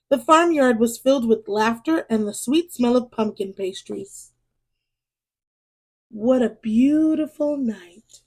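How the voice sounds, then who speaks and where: A woman reading a children's storybook aloud in an expressive way, as a storyteller speaking to young listeners. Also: on the high side. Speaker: storyteller and read-aloud host.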